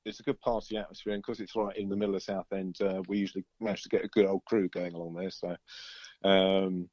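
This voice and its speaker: Man, lower-pitched, casual interviewee with a rambling, looping delivery; close, dull lo-fi sound. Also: level tone, quick pace, darkish tone, no echo. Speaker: band singer and songwriter being interviewed.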